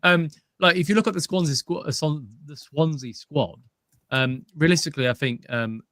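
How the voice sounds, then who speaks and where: A man's voice: on the high side, normal speed, fairly bright tone, no echo, studio quality. A man co-hosting a casual football chat, hesitant with many fillers and restarts. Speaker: co-host, fan pundit.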